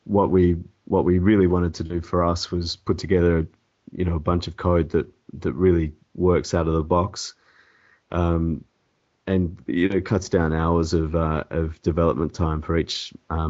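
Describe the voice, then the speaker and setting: A man with a cold and a croaky, hoarse voice, answering interview questions hesitantly with many ums and restarts. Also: sits low, flat delivery, measured pace, a little mellow. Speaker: template developer guest. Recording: dull lo-fi audio.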